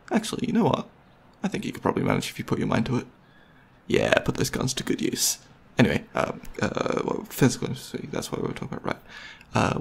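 ASMR roleplay boyfriend, male actor: mid-pitched, gravelly, goofy, caring, hesitant, intimate casual delivery. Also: exaggerated voice. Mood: playful. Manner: hesitant, rambling, playful. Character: goofy, caring, slightly nervous boyfriend on a movie date.

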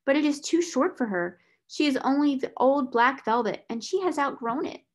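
Lady doing a flustered timid little-sister type, grown up, stammery and breathless, high storybook voice. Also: animated, slow pace, sharp tone, exaggerated voice. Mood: nervous. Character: timid, flustered, tearful younger sister of a schoolmistress.

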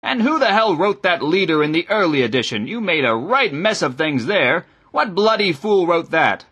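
A man's voice: high-pitched, huge pitch swings, a little slow, sharp tone, slightly rough, heavily acted. A man voicing an irate, scornful bishop in an audiobook, snarling and barking orders and sarcastic questions. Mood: angry. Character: irate, bullying male bishop, loud and scornful.

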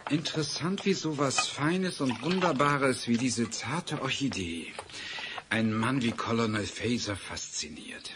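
An actor playing a suave, sinister orchid grower in a radio play, theatrical, with noticeable noise behind him. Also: male voice, medium pitch, lively intonation, measured pace, lightly acted. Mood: silky, musing. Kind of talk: German radio play acting.

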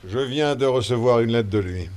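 A man's voice: mid-range voice, normal speed, a little mellow, close to an everyday voice. A man voices a harried sheriff in an old radio play. His mid-range voice is brusque and evasive as he dodges requests. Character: busy impatient small-town sheriff. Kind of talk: 1950s French radio drama acting.

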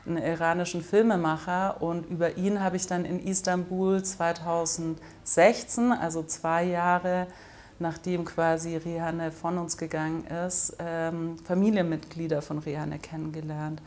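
A woman's voice: lowish voice, level tone, normal speed, darkish tone. A middle-aged woman from Germany, a film director answering interview questions in a thoughtful way with frequent hesitations, recorded indoors on a close camera. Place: indoors in front of an orange wall.

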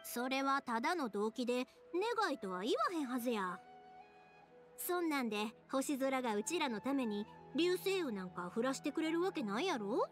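A woman voicing a cheerful, warm-hearted young woman in an anime game, with a Kansai accent and a bubbly, expressive delivery. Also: sits high, normal speed, faint music behind. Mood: cheerful, curious. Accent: Kansai Japanese.

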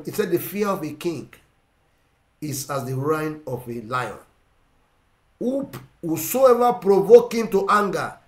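A middle-aged man with a Ugandan English accent preaching a sermon, emphatic and repetitive, swinging between loud and quiet. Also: on the high side, roomy sound, rough recording. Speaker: pastor. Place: indoor room with plain wall. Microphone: seated facing camera.

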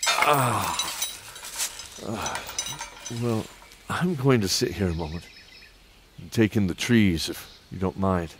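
A man's voice: deep voice, slow, long pauses, background noise. A man playing a kindly, weary old knight who introduces an audio drama in a hesitant, musing way. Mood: weary, content.

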